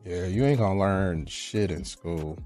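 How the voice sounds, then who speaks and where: A man with a deep, low voice speaks casually over faint background music. His way of talking is informal and earnest, setting out his views matter-of-factly. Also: unhurried, darkish tone. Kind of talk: casual chat.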